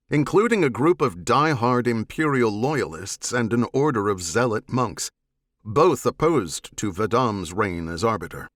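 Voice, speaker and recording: A man reading dramatic fiction as an audiobook narrator, in a measured, serious manner. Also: medium pitch, a bit bright, dry recording, clean studio sound.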